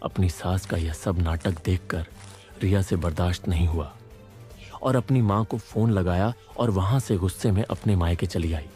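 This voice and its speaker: Low, mellow male story narrator. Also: normal speed, faint music behind. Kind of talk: scripted moral-story narration.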